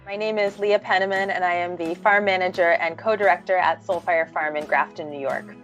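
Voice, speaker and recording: A woman explains her work in documentary style, articulate and with a slightly low voice. Music plays faintly behind her. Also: fairly even intonation, a little fast, fairly bright tone. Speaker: farm manager and co-director. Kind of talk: documentary self-introduction narration.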